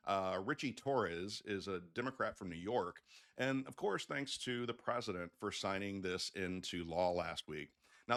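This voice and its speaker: Man, lowish voice, conversational, folksy, casual explainer video host. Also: a bit bright. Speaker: mortgage loan officer hosting an update video.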